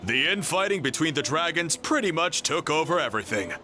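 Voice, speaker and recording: A man voicing a friendly, knowledgeable man in a video game who explains things calmly. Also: fairly high pitch, brisk. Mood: explaining. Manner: calm and explanatory. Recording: game audio with faint music behind.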